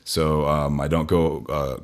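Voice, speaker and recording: A man co-hosting a podcast, telling stories in a casual, halting way. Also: extremely low pitch, level tone. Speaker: podcast co-host. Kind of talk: casual banter and storytelling.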